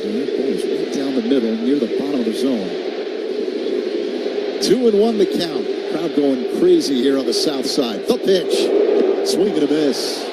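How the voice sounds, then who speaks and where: A man's voice: mid-range voice, animated, moderate pace. A man calling live baseball play-by-play on the radio in clipped phrases that build with the action. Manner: clipped phrases, builds to shouts on big plays. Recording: loud constant stadium noise behind.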